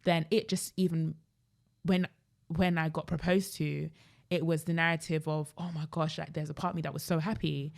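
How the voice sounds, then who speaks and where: A woman's voice: low-pitched, flat delivery, moderate pace, vocal fry. A young British woman with a London accent chatting casually and emphatically as a podcast host. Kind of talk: casual chat.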